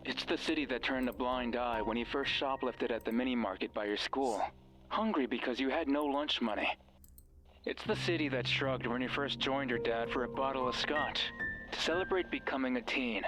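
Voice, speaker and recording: An actor playing a sinister, manipulative mysterious voice on a radio, bitter and commanding, narrating a horror game in the second person. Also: male voice, mid-range voice, moderate pace, a bit bright, soft background music, lightly acted, low-quality recording. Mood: scornful, brooding.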